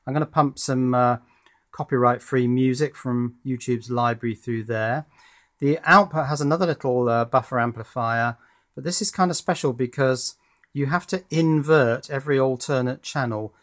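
A man's voice: mid-range voice, moderate pace. A man presenting an informal hands-on electronics demonstration in a matter-of-fact, explanatory way.

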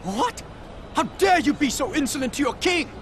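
An outraged fantasy game king with a very high, wildly swinging voice. He declaims with imperious, pompous indignation. Background noise is clearly audible. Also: lively intonation, moderate pace, fairly bright tone. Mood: indignant, imperious.

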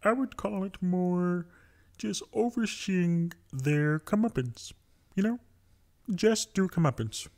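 A man's voice: high voice, slow, darkish tone, pitched above the performer's own voice. A man voicing a prim, self-justifying schemer who talks in a chatty, evasive way. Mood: evasive, smug.

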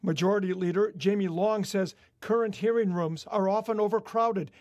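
Middle-aged male field reporter, firm broadcast read, higher register, dry. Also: moderate pace, no room sound. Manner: steady, emphatic reporter delivery. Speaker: chief political reporter. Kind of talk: live news report. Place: outdoors at night by a government building. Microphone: handheld station mic.

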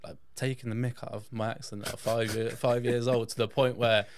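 A man's voice: medium pitch, flat delivery, normal speed, a little hiss or hum. A man from England, a podcast guest telling personal stories in a casual, conversational way. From England, born in Yorkshire, raised in south-west England. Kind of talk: casual personal storytelling in an interview.

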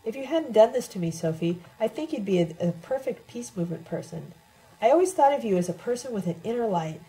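A woman's voice: on the high side, expressive, fairly quick, dark tone, pitched above the performer's own voice, low-quality recording. A woman voicing an embittered, scarred man in an audiobook, accusing and earnestly speechifying. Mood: aggrieved, earnest.

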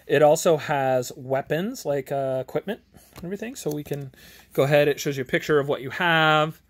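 Rambling man giving a casual game review. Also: on the high side. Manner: rambling, halting, self-interrupting. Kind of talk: casual off-the-cuff product walkthrough. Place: home game garage, indoors. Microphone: handheld camera, held by the speaker.

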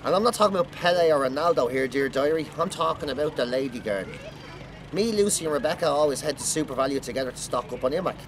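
A man's voice: fairly high pitch, expressive, fast. A middle-aged Irish man reading a book aloud dramatically, outdoors. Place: outdoors at seafront table. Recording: faint outdoor traffic noise.

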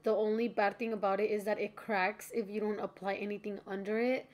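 A woman's voice: medium pitch, little pitch movement, fairly quick. A young woman giving a casual, chatty makeup tutorial voice-over in an informal, friendly-to-viewers way, sounding slightly stuffed up from allergies. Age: young adult.

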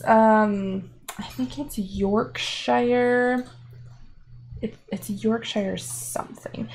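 Chatty young woman with a creaky voice. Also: medium pitch, darkish tone, a little hiss or hum. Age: 20s. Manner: chatty, laughs often, many fillers. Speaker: knitting podcast host. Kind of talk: casual knitting podcast chat. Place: living room armchair at home.

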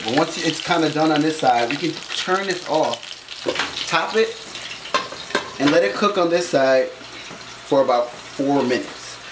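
A man's voice: a little high, expressive, unhurried, pauses often. Joking man cooking in an echoey kitchen. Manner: loose, joking, swears casually. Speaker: comedian hosting a cooking show. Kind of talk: casual cooking demo with banter. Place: small home kitchen. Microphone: camera mic from across the room. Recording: echoey kitchen, distant mic, noisy.

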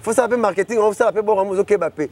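A man's voice: high voice, crowd noise. A man in his thirties with a Congolese accent, an interview guest talking animatedly outdoors on a lapel mic. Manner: gestures constantly, rapid and emphatic. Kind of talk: animated chat mixing French and Lingala. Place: outdoors beside a parked car.

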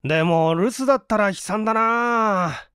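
A man's voice: high voice, measured pace, fairly bright tone, smooth, no room sound, studio quality, pitched above the performer's own voice. A man voicing a hungry, penniless young man, weary but courteous, coaxing and grumbling politely in a dramatised story reading. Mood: resigned, grumbling.

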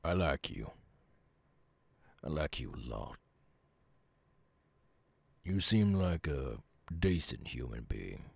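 A man acts out a gruff Southern werewolf in an intimate audio roleplay. His voice is extremely low, thick with gravel and husky. He talks softly and haltingly, a lonely creature with a gentle streak. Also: big pitch swings, no echo, heavily acted. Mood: grateful, earnest.

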